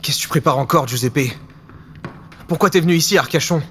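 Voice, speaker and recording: A man's voice: a little high, a little fast, fairly bright tone, natural voice. A man playing a wary, defiant secret service agent in a French audio drama, speaking tersely. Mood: tense, demanding. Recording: faint background noise.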